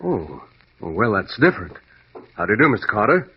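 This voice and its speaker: An actor playing a fussy, genteel family lawyer in an old radio drama, with a proper, mannered delivery. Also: male voice, lowish voice, big pitch swings, a little fast, slightly rough, exaggerated voice. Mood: polite, dismayed. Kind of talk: 1940s-50s radio drama acting. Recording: thin, phone-like vintage radio recording.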